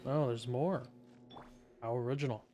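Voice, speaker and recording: A man's voice: mid-range voice, steady tone, moderate pace, mellow, faint music behind. A man streaming a video game, giving casual commentary with wry, joking asides.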